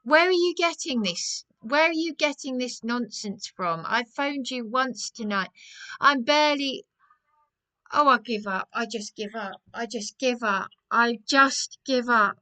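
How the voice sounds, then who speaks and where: A woman's voice: fairly high pitch, a little slow, pushed and bright, low-quality recording. A distressed woman making a complaint as a caller, agitated and insistent, repeating her phrases.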